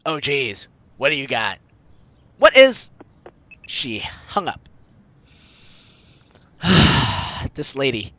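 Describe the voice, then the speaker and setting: Animated, bright-voiced man reading a game aloud. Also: medium pitch, very slow, long pauses, no room sound, dull audio. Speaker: host of a game playthrough. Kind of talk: let's play, reading visual novel lines aloud expressively.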